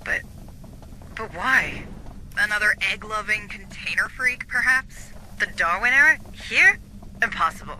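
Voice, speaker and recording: A woman's voice: medium pitch, expressive, a little slow, bright, forceful tone, a little hiss or hum, poor audio. An actress voicing an earnest, anxious corporate official in a video game who guides a marine squad and is shaken by the deaths she finds. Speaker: station official guiding a marine squad by radio. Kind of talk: video game cutscene dialogue.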